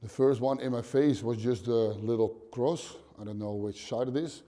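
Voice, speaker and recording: A Dutch man in his thirties, a gym owner answering interview questions bluntly in short, halting phrases, seated in a dim gym. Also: mid-range voice, mellow. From Netherlands. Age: 30s.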